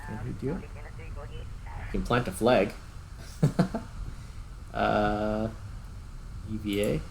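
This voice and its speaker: A man giving casual gameplay commentary, thinking aloud in short, halting remarks. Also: lowish voice, steady tone, gravelly, a little hiss or hum, rough recording. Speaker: gaming host.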